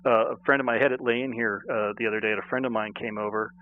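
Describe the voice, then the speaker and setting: A man's voice: lowish voice, fairly even intonation, quick pace. Male interviewer, casual and agreeable, narrow phone-line audio. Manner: chatty, agreeable. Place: phone call.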